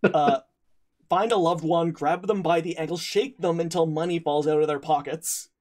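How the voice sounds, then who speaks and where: A man's voice: fairly high pitch, steady tone, moderate pace, darkish tone. A man running a tabletop game stream, introducing players with stammering restarts and jokes. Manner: stammering restarts, joking, laughs a lot. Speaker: game master hosting a charity stream. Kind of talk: casual chat, introducing players.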